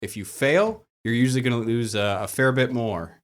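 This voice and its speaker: A man's voice: medium pitch, expressive, moderate pace. A man speaking as a game master guest, explaining rules in a casual group chat. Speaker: tabletop and LARP game master, panel guest.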